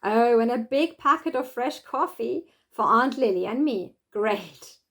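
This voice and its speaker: House-proud mother in a children's tale, played by a woman reader: high, commanding. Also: animated, a little slow, a bit bright. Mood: delighted. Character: gruff bossy mother fussing over her floors.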